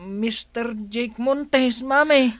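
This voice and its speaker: A woman voicing an eager, excitable schoolboy in a radio drama. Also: on the high side, drawn-out words, no room sound. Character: excited schoolboy calling for his mother. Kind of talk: Ilocano radio drama acting.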